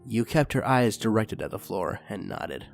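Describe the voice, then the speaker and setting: A man narrating a fan fiction story aloud in a steady, expressive reading voice. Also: on the low side, normal speed, vocal fry, soft background music.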